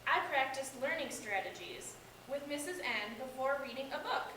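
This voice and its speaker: A woman's voice: high voice, lively intonation, normal speed, sharp tone. A young American woman playing a shy, embarrassed schoolboy in amateur reader's theater, reading scripted lines carefully. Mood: confiding. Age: young adult. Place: echoey indoor room. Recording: echoey room, distant microphone, background hiss.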